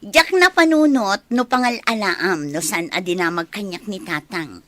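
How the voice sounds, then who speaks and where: A woman's voice: mid-range voice, lively intonation, a little slow, fairly bright tone, no room sound. A woman acting as a suspicious, nosy schemer in a radio comedy drama, questioning and finding fault. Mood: suspicious, probing.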